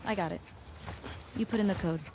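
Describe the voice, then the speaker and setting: A woman's voice: medium pitch, brisk, noisy background, lightly acted. A young American woman acting a wry survivor companion in a game cutscene, with a casual, conversational way of talking. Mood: easygoing. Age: young adult.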